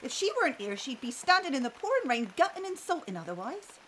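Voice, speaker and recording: A woman playing a spirited, teasing older grandmother with a brisk, bossy manner in an audio drama. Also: high-pitched, big pitch swings, fairly bright tone, a little hiss or hum. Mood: brisk, fond.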